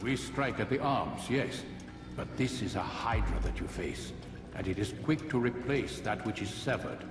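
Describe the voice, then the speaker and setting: An actor playing an aged, commanding assassin master in a video game, speaking as a grave, oratorical mentor. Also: male voice, on the low side, expressive, normal speed, reverberant, long reverb tail, recorded from a distance, music in the background, close to an everyday voice. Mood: grave, probing. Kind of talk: video game cutscene dialogue.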